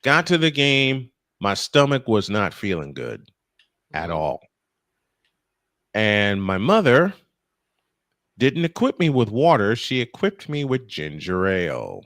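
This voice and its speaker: A man calling baseball play-by-play and telling anecdotes between pitches. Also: huge pitch swings, slow, long pauses, a bit bright, dry recording, clean studio sound. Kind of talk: live sports commentary with anecdotes.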